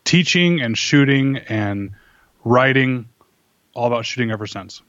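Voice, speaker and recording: A man speaking as an interview guest, laying out arguments in a measured, conversational way and checking his points with rhetorical questions. The recording is close and dry, but slightly dull and lo-fi. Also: medium pitch, lively intonation, fairly bright tone, no room sound. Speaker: interview guest, firearms instructor and writer. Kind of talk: conversational interview, explaining opinions.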